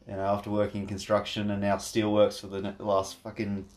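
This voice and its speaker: Crude Australian-accented man with a lowish voice. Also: completely flat delivery, fairly quick. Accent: mixed Australian. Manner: crude, laughing, stumbling restarts. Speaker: podcast co-host. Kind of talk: casual chat.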